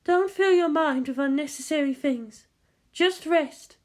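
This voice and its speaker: A narrator voicing a gentle female nurse, soft, hushed and full of pity as she soothes a patient. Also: high-pitched, unhurried, pitched above the performer's own voice. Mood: tender pity.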